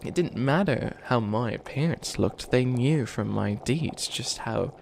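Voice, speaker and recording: A man's voice: medium pitch, animated, measured pace, gravelly, slight background noise. A man voicing an ancient bunny witch, a failed villain who is flustered and kindly, rambling and stammering through a comedic ASMR roleplay. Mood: wistful, exasperated. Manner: rambling, stammering, apologetic, trails off. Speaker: mentor to a new apprentice.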